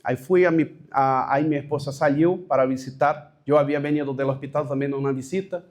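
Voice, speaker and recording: A man with a Brazilian Portuguese accent, a pastor giving a personal testimony at a leadership workshop, telling his story haltingly with restarts and stray Portuguese words. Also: mid-range voice, fairly even intonation, darkish tone.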